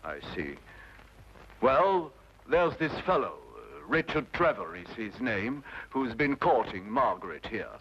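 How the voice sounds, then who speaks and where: A man's voice: medium pitch, very animated, unhurried, a bit bright. A man playing a stern, suspicious middle-aged father with a blustery, grudging manner in an old radio drama. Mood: gruff, indignant. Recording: dull old radio recording, faint noise.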